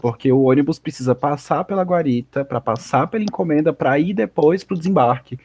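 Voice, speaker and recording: A male student answering his professor's feedback in a relaxed, explanatory way. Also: fairly high pitch, fairly quick, husky, no room sound. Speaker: architecture student.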